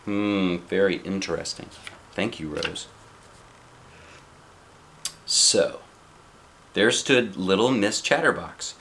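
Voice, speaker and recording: A man reading a picture book aloud as a dad would, playful and expressive, with casual asides to a child. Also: low voice, slow, creaky, pauses often, slight background noise.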